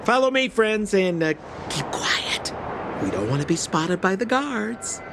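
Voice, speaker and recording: A man's voice: high voice, big pitch swings, a little slow, gravelly, heavily acted. A man playing a slippery, chatty con-man smuggler with showy, ingratiating flair in a video game cutscene, with ambient noise behind him. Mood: glib, sly. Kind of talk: animated video game character acting.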